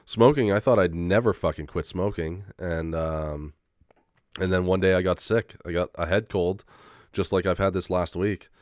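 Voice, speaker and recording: A man's voice: deep voice, normal speed, no room sound, clean studio sound. A young man in a casual rambling monologue, full of fillers, restarts and swearing, getting over a head cold with an occasional cough. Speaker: vlogger.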